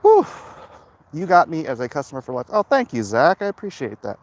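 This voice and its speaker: Man chatting animatedly over clear outdoor noise. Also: on the high side, huge pitch swings, a little fast. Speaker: livestream host, comic artist. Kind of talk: casual rambling livestream chat to viewers. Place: outdoors, walking up a hill. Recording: noisy outdoor walking recording.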